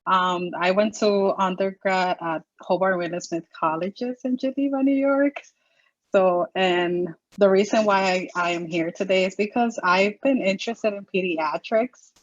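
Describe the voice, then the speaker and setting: A woman's voice: mid-range voice, a little slow, no room sound. Young female student, rambly, lo-fi dead-dry audio. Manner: rambling, many "like"s. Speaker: postbac student. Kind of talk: casual question on video call.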